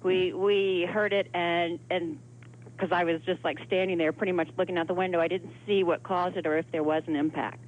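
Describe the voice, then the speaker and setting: A woman's voice: on the low side, flat delivery, a little fast. A woman phoning in a live eyewitness account in an articulate, orderly and polite way. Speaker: eyewitness caller. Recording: tinny telephone line with hum.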